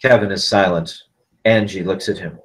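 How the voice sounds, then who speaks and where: A man's voice: sits low, steady tone, moderate pace. A man narrating the stage directions of a film script at a table read, in scripted present-tense narration.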